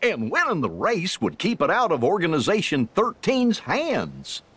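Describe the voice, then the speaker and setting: A man voicing a goofy, gentle, lanky dog sidekick in a cartoon-styled video game, with a husky voice. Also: high-pitched, huge pitch swings, moderate pace, exaggerated voice. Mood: curious.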